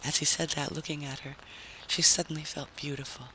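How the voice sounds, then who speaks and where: A man's voice: fairly high pitch, level tone, brisk, bright, forceful tone. A man narrating a story in a dramatic, scripted vintage radio style. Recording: noisy vintage radio recording.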